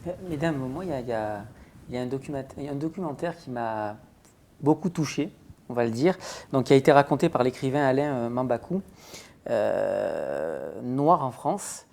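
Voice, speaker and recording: A woman hosting a studio discussion, asking her questions in a hesitant, halting way. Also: very deep voice, lively intonation, creaky, darkish tone, slight background noise. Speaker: host.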